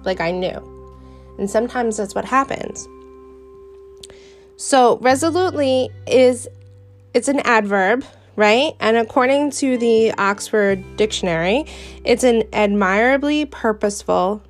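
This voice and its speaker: A woman's voice: medium pitch, a little slow, soft background music. A young adult woman with a New York accent, hosting a podcast and talking in an earnest, chatty way about her faith. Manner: earnest and chatty, with fillers and restarts. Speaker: podcast host. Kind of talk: casual faith podcast monologue.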